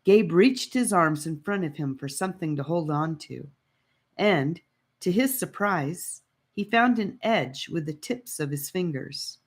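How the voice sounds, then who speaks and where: Woman reading a fantasy audiobook, pretty low voice, dramatic storytelling. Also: expressive, a little slow. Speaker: audiobook narrator.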